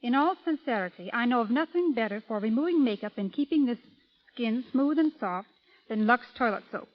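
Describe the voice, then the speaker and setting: Woman, high voice, formal scripted radio guest, muffled 1930s broadcast sound. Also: lively intonation, brisk. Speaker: film stand-in and guest. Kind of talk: scripted radio interview with product testimonial.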